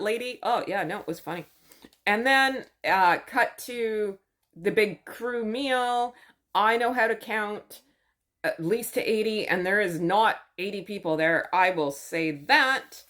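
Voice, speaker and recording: A woman's voice: mid-range voice, a bit bright. A woman with a mid-range voice recapping a show in a loose, chatty way. She drops dry, sarcastic asides as she goes, keeping an easygoing conversational rhythm. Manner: chatty, wry, full of asides. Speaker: channel host.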